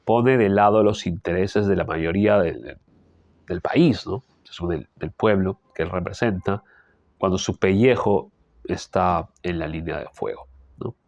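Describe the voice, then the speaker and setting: A man with a Peruvian Spanish accent reads a political column aloud and adds his own asides, often clearing his throat because of an allergy. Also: sits low, unhurried, a little mellow, dry recording, lo-fi sound. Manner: frequent throat clearing and tag questions. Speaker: political commentator reading the news. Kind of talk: reading a news column aloud with personal asides.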